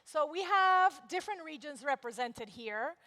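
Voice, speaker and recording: High-voiced woman moderating a panel. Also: lively intonation, bright, forceful tone. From Venezuela. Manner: polished, measured questions with statistics. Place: large conference hall. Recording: long hall reverb.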